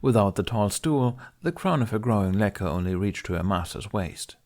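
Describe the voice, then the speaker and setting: Low-voiced man reading a story. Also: expressive, moderate pace, darkish tone. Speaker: audiobook reader. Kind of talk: scripted narration of fan fiction audiobook.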